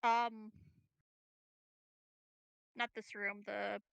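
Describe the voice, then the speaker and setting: A woman's voice: medium pitch, level tone, drawn-out words, gravelly, fairly bright tone, clean tone, no room sound, lo-fi sound. A woman playing a tabletop role-playing game, chatting casually as she decides her moves.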